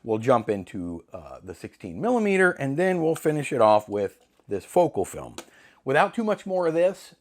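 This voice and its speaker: A middle-aged man with a slightly hoarse voice, chatting casually to camera in a rambling, self-deprecating way with jokey asides. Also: mid-range voice, very animated, normal speed, darkish tone. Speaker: film hobbyist channel host.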